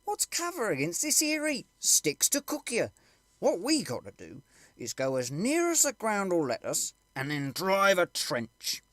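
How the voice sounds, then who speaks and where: Man as a brash, loud, colloquial army sapper. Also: high-pitched, huge pitch swings, unhurried, no echo, pitched above the performer's own voice, heavily acted. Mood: bickering. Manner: colloquial, emphatic working-class speech. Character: brash, impatient male army sapper, rough and combative.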